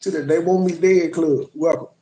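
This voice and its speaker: A man's voice: fairly high pitch, steady tone, moderate pace, mellow, roomy sound. A man hosting a livestream, rambling and profane as he chats with viewers and reacts to clips. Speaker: livestream host. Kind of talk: casual live commentary.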